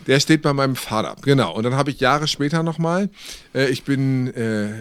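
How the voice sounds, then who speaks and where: A man's voice: mid-range voice, normal speed, clear, resonant tone, no room sound. A middle-aged man, telling anecdotes in casual, wryly joking podcast talk. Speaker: podcast co-host. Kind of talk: casual chat.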